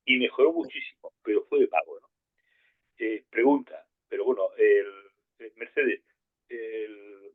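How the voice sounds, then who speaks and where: Husky male host, loose and rambling, on a thin phone-like line. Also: medium pitch. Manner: rambling, reads out listener comments. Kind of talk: hosting a call-in show.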